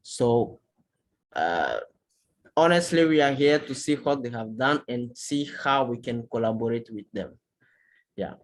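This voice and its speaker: A man speaking as a guest on an online panel, in slow, halting phrasing that is not native. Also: medium pitch, animated. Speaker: guest from a youth climate movement.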